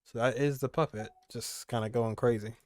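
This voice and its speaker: A man's voice: mid-range voice, fairly even intonation, moderate pace, a little mellow, a little hiss or hum. A young man giving casual, rambling reaction commentary as a gaming streamer, repeating phrases for emphasis.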